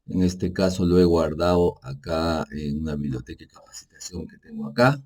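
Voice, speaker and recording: A man with a low, deep voice and a dark, mellow tone narrates a screen tutorial. He talks in an unhurried, casual way, correcting himself as he goes and checking in with little tag questions. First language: Spanish. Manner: casual and explanatory, frequent fillers like "pues" and "¿no?"; halting, self-correcting narration while working on screen. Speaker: energy manager giving a software tutorial. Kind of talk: unscripted screen-share tutorial.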